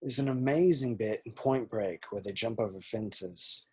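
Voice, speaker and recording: A man's voice: fairly low pitch, moderate pace, warm tone, slightly rough. A man reading the part of an eager, naive young police constable who loves action films. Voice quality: eager, chatty.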